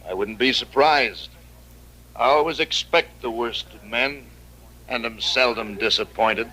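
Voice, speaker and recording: An American actor playing a cynical, world-weary gunfighter sheriff in an old-time radio western, speaking tersely and fatalistically. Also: male voice, medium pitch, a little slow, bright, forceful tone, low-quality recording. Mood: grim. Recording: vintage western radio drama recording.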